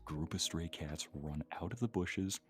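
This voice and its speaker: A man's voice: low voice, measured pace, soft background music. A man on a live stream, chatting casually and telling everyday anecdotes. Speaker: streamer, guest.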